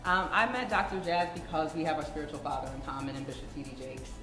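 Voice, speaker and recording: A woman's voice: low voice, fast talker. A woman guest speaker interviewed at a conference, articulate and thoughtful. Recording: echoey hall with music.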